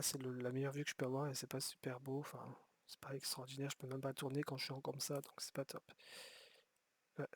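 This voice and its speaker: A man's voice: medium pitch, no echo. A man giving rambling, casual commentary while playing a city-building game, often restarting his sentences and swearing offhand. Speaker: gameplay streamer.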